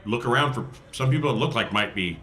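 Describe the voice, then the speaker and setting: A man's voice: medium pitch, expressive, quick pace, bright, forceful tone. A man playing a tabletop game in casual, joking chat.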